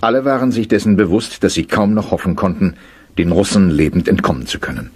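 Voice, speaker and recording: Scripted narration for a war radio play. His voice is deep and his telling is grave. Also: a little fast, darkish tone, slightly rough, no echo.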